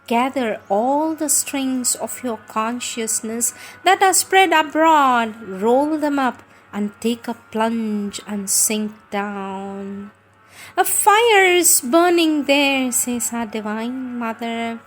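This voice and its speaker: A woman reading scripted spiritual teachings in a measured, deliberate, emphatic manner. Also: fairly high pitch, lively intonation, faint music behind.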